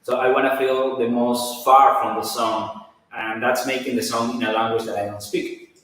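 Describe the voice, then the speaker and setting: A man whose first language is Spanish answers questions in a live band stream, talking in English with frequent restarts as he hunts for the right words. His voice sits at a middle pitch. It sounds distant from the mic in a very echoey room with a long reverb tail. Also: little pitch movement, fairly bright tone. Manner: halting, searching for English words. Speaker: band member.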